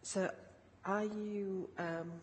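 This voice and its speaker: A woman with a croaky voice, speaking formally and haltingly as a councillor in a committee meeting. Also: medium pitch, fairly even intonation. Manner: hesitant, halting. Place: large indoor council meeting room. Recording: echoey hall with background noise.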